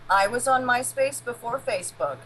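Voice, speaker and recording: A woman's voice: a little high, normal speed, a bit bright, a little hiss or hum, rough recording. A woman speaking as an interview guest in casual conversation, reflective, in short considered statements.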